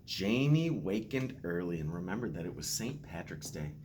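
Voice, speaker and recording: A man's voice: sits low, sing-song, moderate pace, darkish tone, a little hiss or hum. A man reading a children's storybook aloud expressively, with playful asides. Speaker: school staff member reading aloud to children.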